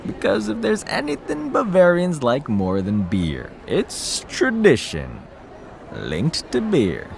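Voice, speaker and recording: A man's voice: on the high side, huge pitch swings, slow pace, creaky, a little hiss or hum, heavily acted. A man voicing a boozy, irreverent gossip in a video game, with a sardonic, theatrical drawl. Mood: wry storytelling. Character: boozy, irreverent man who gossips about local history. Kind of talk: video game character dialogue.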